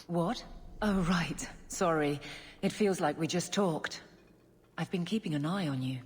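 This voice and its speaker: An actress voicing a blunt, stern female guard captain in a fantasy video game, speaking in a gruff, matter-of-fact way. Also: lowish voice, unhurried, long reverb tail, lightly acted. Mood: brisk. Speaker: city guard officer.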